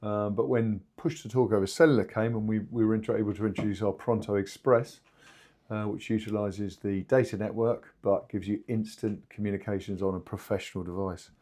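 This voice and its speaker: Deep-voiced, mellow man. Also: fairly even intonation. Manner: halting, explanatory. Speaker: two-way radio company spokesman. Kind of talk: informal podcast discussion.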